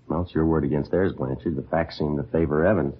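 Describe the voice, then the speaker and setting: An actor playing a terse, businesslike police detective in an old radio drama, with clipped, deadpan, matter-of-fact delivery in narration and dialogue. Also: male voice, rumbling low, fast, husky. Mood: stern, impassive. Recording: muffled old radio recording.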